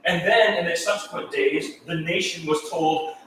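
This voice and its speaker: Man, native Tamil speaker, slightly high voice, very echoey room, distant mic, faint hiss. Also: normal speed, a bit bright. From India. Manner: emphatic, repeats key points. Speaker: artist interviewee. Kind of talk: casual spoken interview.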